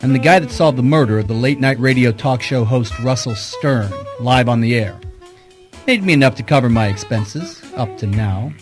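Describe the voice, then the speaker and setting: Man acting a wisecracking private eye, wry, informal, mid-pitched, radio mystery drama, light music behind. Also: normal speed, lightly acted. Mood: wry narration. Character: wisecracking private detective, uneasy among high society. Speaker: lead actor and narrator in a radio mystery. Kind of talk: hard-boiled detective radio drama.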